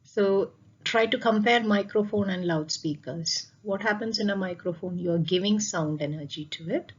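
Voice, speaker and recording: A woman's voice: mid-range voice, moderate pace, highs cut off, slight background noise. A woman teacher explaining a lesson over slides, repeating key points and posing questions as she goes. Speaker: physics teacher.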